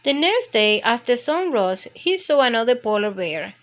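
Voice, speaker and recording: A woman's voice: fairly high pitch, big pitch swings, normal speed. A woman reading a picture book aloud as a storytime narrator for young children.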